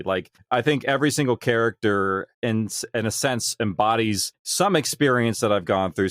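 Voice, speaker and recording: A man's voice: on the low side, dry recording, studio quality. A man speaking as a podcast guest about his creative work, earnest, reflective and discursive. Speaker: audio drama creator, interview guest. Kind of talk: reflective podcast interview talk.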